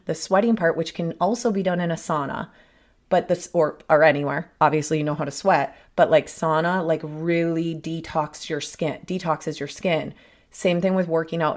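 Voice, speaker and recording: Woman host, 30s, raspy and a little hoarse from a cold, lowish voice, chatty and self-interrupting solo podcast delivery. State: sick, a bit hoarse. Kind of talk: casual solo podcast talk.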